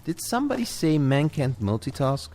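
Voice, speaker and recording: A man's voice: fairly high pitch, sing-song, normal speed, darkish tone. A man speaking as a coach in a conversational guided session, addressing one listener with encouragement and playful asides. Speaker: coach guiding a walking audio session. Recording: clear background noise of outdoor walk effects. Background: added walking footsteps, garden birds, ambience.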